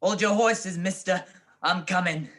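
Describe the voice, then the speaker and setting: Guy doing a crooked prison guard for radio drama, edgy n slangy, bright highish voice. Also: measured pace. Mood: gruff, irritated. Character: gruff, anxious, bribed male prison guard. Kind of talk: radio play acting.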